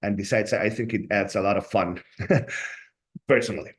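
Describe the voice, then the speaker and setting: A man's voice: lowish voice, moderate pace. A man from Colombia whose first language is Spanish, speaking with a Colombian Spanish accent in a webinar. He sounds earnest and often ends his points with "right?". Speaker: law school staff member. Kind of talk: informational webinar talk.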